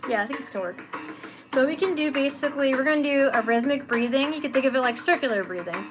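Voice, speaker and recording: A young woman from Philadelphia talks in a slightly raised voice, her Philly accent plain as she cheerfully walks a live class through breathing counts. She laughs easily and keeps the tone chatty. Music plays clearly behind her. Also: sits high, fast talker. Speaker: meditation and breathwork teacher. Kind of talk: live guided breathwork instruction. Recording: music playing under the voice.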